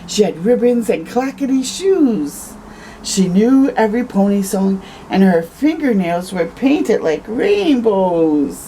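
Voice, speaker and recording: Slow, mellow woman reading a storybook. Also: medium pitch, very animated, slight background noise, low-quality recording. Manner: conversational asides, tags questions with "eh". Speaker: storytime host reading aloud. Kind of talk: expressive children's picture-book read-aloud with asides.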